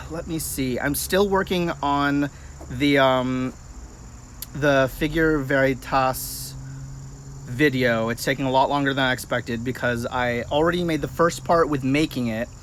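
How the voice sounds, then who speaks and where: A man's voice: mid-range voice, fairly bright tone. Man, late twenties, casual livestream host, familiar chatty delivery, light insect-like background noise. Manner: chatty, informal, addresses viewers familiarly. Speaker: livestream host, practitioner of folk magic. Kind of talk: casual livestream chat with viewers.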